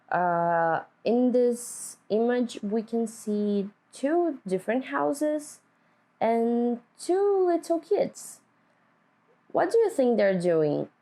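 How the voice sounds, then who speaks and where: Slow, mellow woman reading to children. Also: mid-range voice. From Brazil. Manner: deliberate, questioning, child-directed. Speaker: teacher reading a picture book aloud to children. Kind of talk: read-aloud lesson with simple questions to children.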